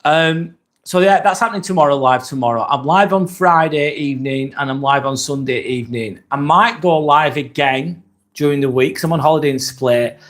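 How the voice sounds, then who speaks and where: A man in his forties with a Lancashire accent, hosting a casual live stream, chatting in a relaxed, rambling way with plenty of ums. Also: on the high side, normal speed. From northwest England. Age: 40s. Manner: relaxed, rambling, reads chat comments aloud with many fillers. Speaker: livestream host.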